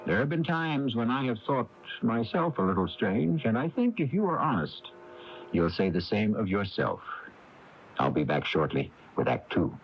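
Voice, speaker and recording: Low, dramatic male mystery host over music. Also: big pitch swings. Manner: deliberate, dramatic. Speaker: host narrator. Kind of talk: scripted radio mystery narration. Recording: dull taped AM radio broadcast.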